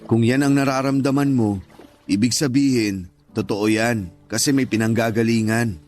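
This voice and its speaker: An actor playing a gentle, flattering and sympathetic male friend with a Filipino accent, in conversational radio drama style. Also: medium pitch, moderate pace, close to an everyday voice. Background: background music.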